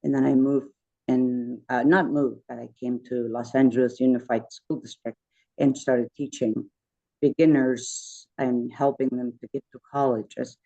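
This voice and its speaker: A woman's voice: rumbling low, steady tone, measured pace, warm tone. A woman who is an architect and educator introduces herself in an online meeting. She rambles in a friendly way and often corrects herself. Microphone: video call. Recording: muffled video call audio.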